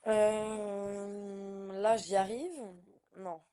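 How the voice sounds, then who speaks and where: A woman's voice: medium pitch, little pitch movement, very slow, mellow. A woman in her twenties talking bluntly and offhandedly with slang on a video call. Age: 20s. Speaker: video chat stranger. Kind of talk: casual chat.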